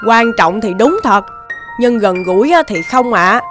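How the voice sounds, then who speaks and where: A woman voicing a hardworking, aggrieved female water buffalo in an animated fairy tale. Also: on the high side, unhurried, music in the background.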